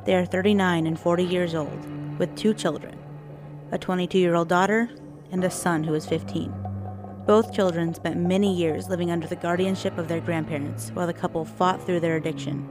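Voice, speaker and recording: A woman reads a news feature voice-over in an even, informative manner. Her voice sits a little low. A clear music bed runs beneath her. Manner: measured, informative delivery. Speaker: reporter narrator. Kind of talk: scripted narration.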